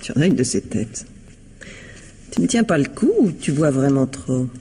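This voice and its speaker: An actress playing a concerned, insistent wife in a French radio mystery, with a probing, intimate way of talking. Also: female voice, extremely low pitch, very animated, normal speed, warm tone, long reverb tail, a little hiss or hum.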